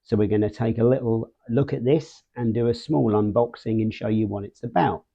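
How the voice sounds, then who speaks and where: A man hosting a casual product unboxing and walkthrough, speaking in short, measured phrases with pauses mid-sentence. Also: mid-range voice, vocal fry, dark tone. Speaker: video host, product reviewer. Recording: muffled, phone-like narrow band sound.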